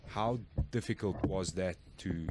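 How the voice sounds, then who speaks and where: A man hosting a podcast, interviewing guests with brisk, encouraging replies. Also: low-pitched, noisy background. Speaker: podcast host.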